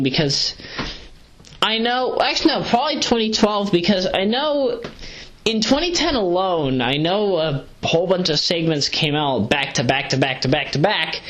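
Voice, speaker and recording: A woman giving a casual, rambling audio review, sleep-deprived but hyper, digressing and correcting herself in slangy talk as she paces around. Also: lowish voice. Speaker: video reviewer, vlogger. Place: pacing around while recording.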